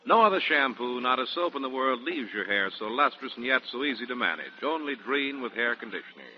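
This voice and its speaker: A male announcer giving a scripted sales pitch in the style of 1940s radio advertising. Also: lively intonation, a little fast. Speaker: commercial announcer. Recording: dull 1940s radio recording.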